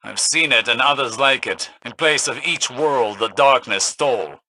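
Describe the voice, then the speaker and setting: Game companion spirit: erudite, solemn investigator, medium pitch, very bright intense tone, dry studio sound. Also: male voice, normal speed, no room sound, poor audio. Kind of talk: dramatic video game dialogue.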